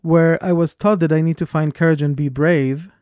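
A man with a foreign accent co-hosting a podcast, talking in an earnest and reflective way that turns animated when he tells a story. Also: fairly high pitch, normal speed, dry recording, studio quality. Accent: foreign accent, origin unstated. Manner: earnest, thoughtful, animated when telling stories. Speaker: podcast co-host. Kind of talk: reflective conversation.

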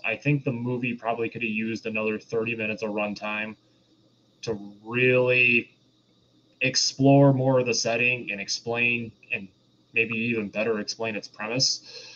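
A man's voice: medium pitch, a bit bright, a little hiss or hum. A man co-hosting a podcast in a casual review discussion, explaining his views in a hesitant, rambling way. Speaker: podcast co-host reviewing films. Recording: dull, lo-fi voice audio.

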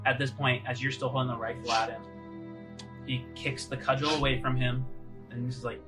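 A man improvising tabletop game narration as game master, casual and descriptive in a halting way. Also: mid-range voice, little pitch movement. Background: music.

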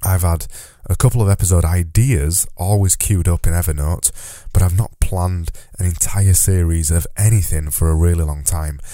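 A man's voice: low-pitched, normal speed, mellow, no room sound, clean studio sound. A man hosting his own podcast in a solo monologue, with a slightly nasal voice, talking earnestly and repeating words for emphasis. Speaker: podcast host. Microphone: close podcast mic.

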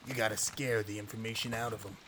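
A man playing a gruff, terse, threatening ex-detective in an audio drama. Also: lowish voice, flat delivery, fast, background noise, lightly acted. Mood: brisk, matter-of-fact. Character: gruff, threatening, hard-boiled male ex-detective.

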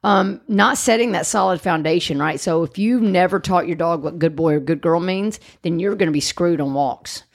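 A woman explains dog training on a casual podcast, emphatic and often checking in with "right?". Her voice sits a little low and has a gravelly, fry-laden edge. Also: normal speed, hardly any pauses. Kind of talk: casual chat.

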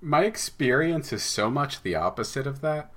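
A man's voice: medium pitch, lively intonation, moderate pace, a bit bright. A man speaking as an interview guest, explaining and telling stories in a conversational way. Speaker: podcast guest, audio drama writer.

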